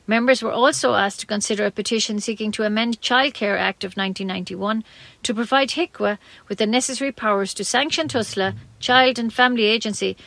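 An Irish woman reads a local radio news bulletin from a script. Her voice sits at an average pitch for a woman, and she keeps the delivery plain. The sound is dry, with very little room to it. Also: vocal fry, a bit bright, no echo. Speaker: community radio newsreader.

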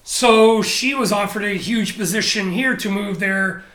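A man being interviewed, talking casually and candidly about his career, animated and blunt with frequent restarts. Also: high-pitched, a little slow, reverberant, a crowd in the background. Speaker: interview guest, photographer. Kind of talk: casual conversational storytelling.

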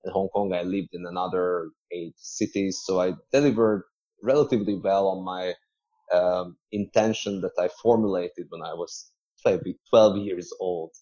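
A man speaks in a fairly low voice, sharing a personal story as an interview guest. He pauses often between short phrases, and the sound is slightly dull and lo-fi. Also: fairly even intonation, dark tone. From Hungary. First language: Hungarian. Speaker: podcast guest, entrepreneur.

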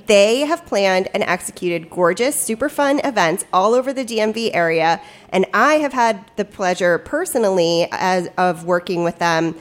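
A woman's voice: mid-range voice, normal speed, few pauses. A woman hosting a casual podcast chat, upbeat and chatty, a little stuffy with a cold. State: stuffy, slight cough. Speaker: podcast host and producer. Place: hotel studio.

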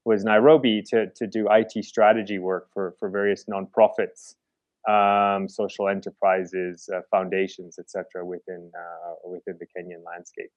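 Low-voiced man, halting, dull lo-fi sound. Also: little pitch movement, a little mellow, no room sound. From Chicago, United States. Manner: halting, many restarts and fillers. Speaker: guest, conservation founder. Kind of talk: interview answers.

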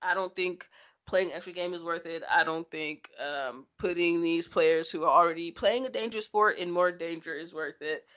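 A woman co-host chatting casually about sports. Her voice sits a little low and has a bright, forceful edge. She comes across as playful and ready to tease. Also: moderate pace.

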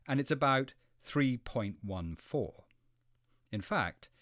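A man with a fairly low voice narrates a scripted educational programme. His delivery is explanatory and clearly phrased, with very lively intonation that rises and falls often. The sound is dry and close, free of room echo.

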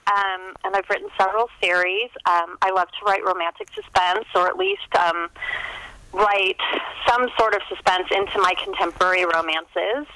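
A woman with a bright, clear-edged voice speaks as an interview guest. The sound is dry and close, and she talks in a casual storytelling style. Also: mid-range voice, lively intonation, normal speed, no room sound, poor audio.

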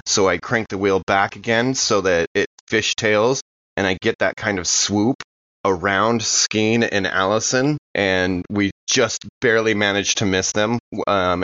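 A man's voice: low voice, sharp tone, no room sound. A man playing a tabletop game, casually describing the character's actions, recorded with a dry, slightly dull sound.

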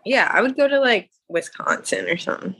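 A woman's voice: medium pitch, huge pitch swings, fairly quick, sharp tone, breathy edge, dry recording. A woman co-hosting a podcast, asking questions in a hesitant way with many fillers. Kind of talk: casual chat.

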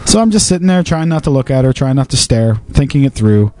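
A man's voice: medium pitch, lively intonation, fast talker, warm tone, faint music behind. A man with a Canadian accent telling a story in casual, teasing banter, laughing often.

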